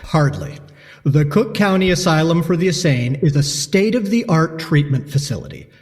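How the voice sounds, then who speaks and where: A man's voice: a little high, lively intonation, normal speed, a little mellow, in a large hall. An actor playing a cold, condescending male asylum doctor with formal, precise diction. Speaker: asylum alienist.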